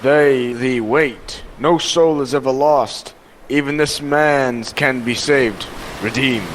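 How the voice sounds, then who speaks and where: Young man playing a slow, devout old priest. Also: medium pitch, background noise, lightly acted. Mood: grave pleading. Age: young adult. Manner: grave, measured, pious. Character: devout old priest, calm and self-sacrificing. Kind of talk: old-time radio drama acting.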